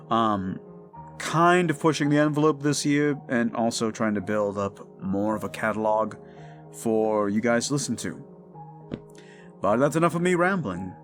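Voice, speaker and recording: A man hosts his own audio channel and talks casually to his listeners. He sounds like a creator chatting informally after a performance, with music playing behind him. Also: mid-range voice. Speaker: audio roleplay creator and host. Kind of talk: casual outro chat to listeners.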